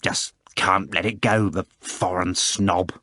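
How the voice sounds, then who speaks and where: A man's voice: low voice, fairly even intonation, takes it slowly, sharp tone, no echo, clean studio sound, exaggerated voice. A man doing a surly young poet. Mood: grumbling.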